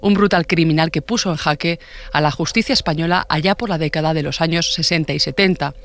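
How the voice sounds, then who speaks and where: A man's voice: on the high side, fast talker, a bit bright. A man with an accent from Spain, narrating a true crime podcast from a script as its host.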